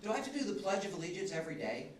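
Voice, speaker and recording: A man's voice: sits high, sing-song, very fast, very noisy. A Southern man quoting his curious sixth-grade son in a slightly raised, earnest voice. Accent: Southern American. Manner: earnest questioning tone. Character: curious sixth-grade boy, literal and blunt. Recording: echoey club, far from mic, loud crowd.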